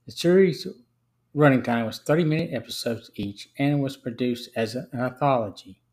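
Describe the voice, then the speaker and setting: A man's voice: medium pitch, normal speed, a little mellow. A man hosting a podcast, reading out a scripted review as narration.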